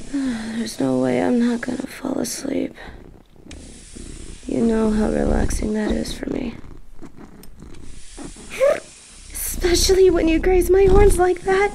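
A woman voicing a sweet, playful demon girlfriend who purrs, talking softly and affectionately in an audio roleplay. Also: on the high side, vocal fry, slight background noise, low-quality recording. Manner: soft, affectionate, drowsy.

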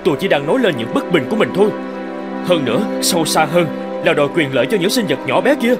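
A man acts out a young cartoon scholar full of self-righteous indignation. He argues and boasts in exclamations, his pitch very animated. Music plays behind him. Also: fast talker. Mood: agitated. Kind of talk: Vietnamese cartoon dubbing.

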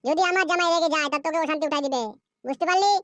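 A high-voiced performer playing furious scorned wives in a Bengali comedy cartoon, ranting and scolding in a shrill, pitched-up childlike voice. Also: very fast, fairly bright tone, no echo. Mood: scolding, threatening. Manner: scolding, foul-mouthed, ranting.

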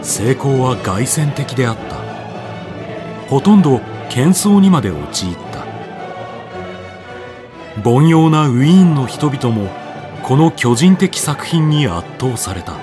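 A male narrator reads a radio drama solemnly over prominent music. His mid-pitched voice moves through wide, dramatic swings in a declamatory style. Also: sing-song, takes it slowly, pauses often. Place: studio voice-over with music behind.

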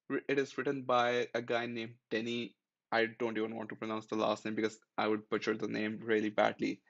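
Guy narrating a screen tutorial, mid pitch, kinda dull lo-fi sound, just walks you through the clicks. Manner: plain step-by-step instructions, friendly and matter-of-fact. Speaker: tech tutorial host. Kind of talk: screen-recorded software tutorial.

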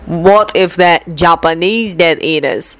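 A woman's voice: on the low side, slow, fairly bright tone, no echo. Woman reading as a grumpy, suspicious schoolboy wizard. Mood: grumbling.

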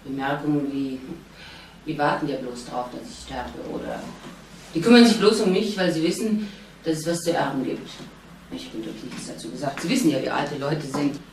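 An actress playing a discreet, measured female witness in a radio crime drama. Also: sits low, a little mellow, far from the mic. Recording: roomy, off-mic, faint noise.

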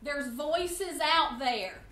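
A woman in her fifties, loud and animated, teaching a church class to a seated group. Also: sits high, drawn-out words. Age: 50s. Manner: loud, animated, chatty with the room. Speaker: guest Bible class teacher. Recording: roomy echo, faint room noise.

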